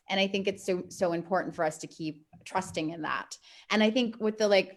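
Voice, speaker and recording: A woman talking unscripted on a group video call, effusive and a little halting, often repeating her phrases. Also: on the low side. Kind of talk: casual group talk, unscripted.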